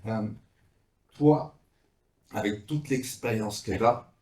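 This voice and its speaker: A man's voice: on the low side, slow, darkish tone. A man interviewing conversationally, phrasing his questions carefully and with some hesitation, in roomy live sound.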